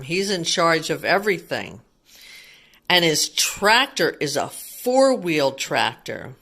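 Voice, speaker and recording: A woman's voice: lowish voice, bright, forceful tone. A woman reading a picture book aloud to young children, asking simple questions and explaining things as a teacher would.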